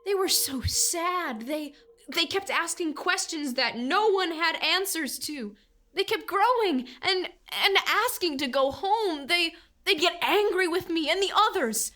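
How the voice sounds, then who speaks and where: A woman's voice: extremely high pitch, animated, a little slow, bright, forceful tone, heavily acted. A woman voicing a defensive, naive boy who never grows up, childlike and earnest, in an audio drama. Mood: anxious, guilty.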